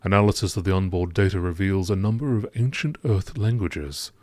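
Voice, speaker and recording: A man narrating scripted science-fiction lore entries in a measured, formal documentary style. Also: low-pitched, darkish tone, no echo.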